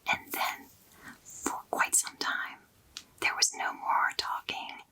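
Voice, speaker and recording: Give me a woman narrating a children's novel in a gentle, bright whisper. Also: slow pace, low-quality recording. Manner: soft unvoiced whisper throughout. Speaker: storyteller. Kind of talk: whispered ASMR story reading.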